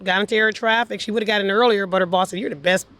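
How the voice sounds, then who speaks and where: An older woman telling personal stories casually as an interview guest, chatty and animated as she acts out what people said. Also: medium pitch, fast. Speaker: interview guest, former air traffic controller. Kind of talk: casual anecdotal storytelling.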